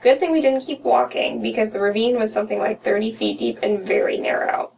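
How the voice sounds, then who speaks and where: Young American female narrator for amateur horror readings, voice on the higher side, slightly distant home recording. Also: brisk, gravelly, darkish tone. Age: young adult. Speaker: podcast co-host reading horror stories. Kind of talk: reading a story aloud. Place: small room at home, indoors. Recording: distant mic with room noise.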